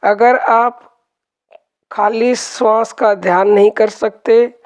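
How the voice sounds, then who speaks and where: A woman leading a guided meditation, giving calm, gentle instructions and softly repeating her phrases. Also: on the high side, slow.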